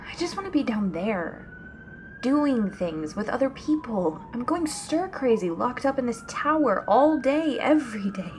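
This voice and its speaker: A woman playing a restless, anxious young woman in an ASMR audio drama, speaking softly and hesitantly, often near a whisper. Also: fairly high pitch, big pitch swings, a little slow. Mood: restless, exasperated. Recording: clear background noise, ambient sound.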